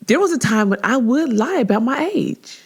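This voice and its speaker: A woman in her forties hosting her own podcast in a casual monologue, chatty and animated, and often jumping much higher in pitch when she acts out something someone said. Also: normal speed, husky. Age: 40s. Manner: laughs easily, with frequent filler words and restarts. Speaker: podcast host.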